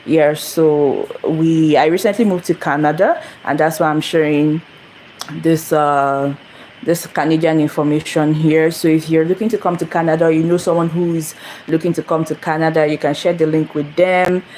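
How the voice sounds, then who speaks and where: A woman's voice: low-pitched, fairly even intonation, moderate pace, a little mellow, slight background noise, low-quality recording. A young woman from Nigeria hosting a casual phone livestream, rambling and repeating herself as she greets viewers. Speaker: channel host. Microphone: phone camera.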